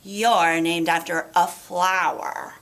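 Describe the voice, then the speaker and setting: A woman's voice: on the low side, steady tone, takes it slowly, fairly bright tone. A woman voicing a haughty know-it-all schoolgirl in a storybook, smug and emphatic. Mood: smug, mocking.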